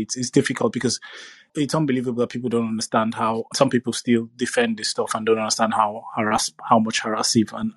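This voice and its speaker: Man, panel guest, husky, mid pitch, dry close sound, earnest, restarts a lot. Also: no echo. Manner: earnest, repeats words while building a point. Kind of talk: opinionated discussion.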